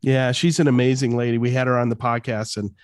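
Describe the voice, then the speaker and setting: A man's voice: mid-range voice, flat delivery, fast, clear, resonant tone, no room sound. A man hosting a podcast interview, casually affirming his guest. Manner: affirming, conversational. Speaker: podcast host.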